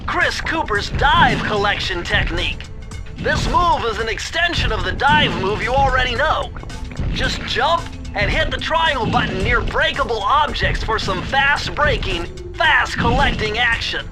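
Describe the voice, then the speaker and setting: Nerdy young turtle, very high voice, pedantic, fussy, excitable, cartoon game sidekick, music behind. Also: male voice, measured pace. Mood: eager instructing. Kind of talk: video game cartoon acting.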